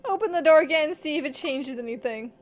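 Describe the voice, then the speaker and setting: A woman's voice: high-pitched, animated, fairly quick. A woman streaming a game, reacting casually with giggly exclamations. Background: music.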